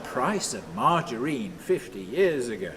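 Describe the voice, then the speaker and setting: An actor playing a bitter, brooding man haunted by memories, rambling and halting in a radio play monologue. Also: medium pitch. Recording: noisy, steady ambient sound behind.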